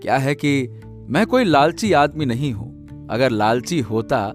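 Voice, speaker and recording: A man's voice: mid-range voice, animated, measured pace, soft background music, close to an everyday voice. A man playing a humble, honest poor village husband in a Hindi cartoon story, speaking earnestly with hesitant interjections. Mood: earnest, regretful.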